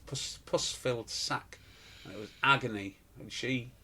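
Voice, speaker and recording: A man's voice: medium pitch, measured pace, sharp tone, slight background noise. A middle-aged man, a pantomime performer telling stories in an interview, rambling with fillers and restarts. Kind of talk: casual interview chat.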